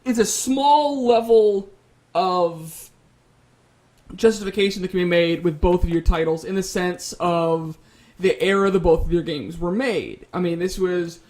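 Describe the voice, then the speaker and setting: A man's voice: high voice, a little slow, a little mellow. A man hosting a casual debate about video games, arguing his points in a rambling, opinionated way. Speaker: host of a debate show.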